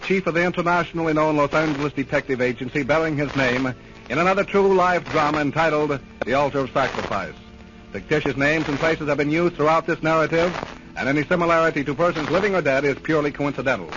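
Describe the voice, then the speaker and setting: A man announcing on radio in a formal, measured, scripted 1930s style. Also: fairly high pitch. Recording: noisy, dull 1930s radio recording.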